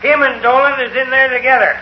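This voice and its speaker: An actor playing a hot-headed, belligerent cattle rancher with folksy rural speech in a 1950s radio western. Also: male voice, very high-pitched, steady tone, fairly quick. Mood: accusing, angry. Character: angry, hot-headed cattle rancher in an old West town. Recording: dull, roomy vintage radio recording, faint hiss.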